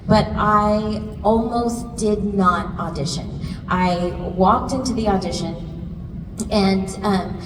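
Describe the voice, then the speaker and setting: A woman voice actor on a convention panel, telling a lively story with quick laughs. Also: medium pitch. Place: large convention hall. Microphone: handheld mic, recorded from far off in the audience. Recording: echoey hall recorded from far off, noisy.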